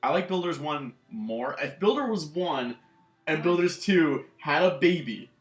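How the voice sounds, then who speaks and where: A man's voice: a little high, expressive, unhurried, faint music behind. Rambling man in an echoey room. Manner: rambling, many fillers like "um" and "like". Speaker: gaming channel co-host. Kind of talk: casual chat about video games.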